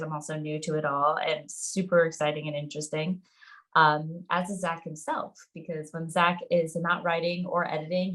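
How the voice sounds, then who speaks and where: A woman co-hosting a podcast in casual chat, excitable and informal. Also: low-pitched, low-quality recording. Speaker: podcast co-host.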